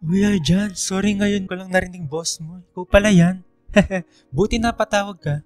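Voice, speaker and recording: High-pitched, bantering young man played by an actor. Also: steady tone, moderate pace, a little mellow, dry recording, soft background music, close to an everyday voice. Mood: welcoming. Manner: light, joking, bantering. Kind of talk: radio drama dialogue.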